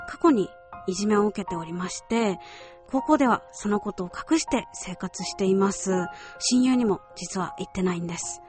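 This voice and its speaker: A woman's voice: medium pitch, a little mellow. A woman presenting a solo radio show, addressing listeners directly in a polite, explanatory way. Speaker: radio show host, voice actress.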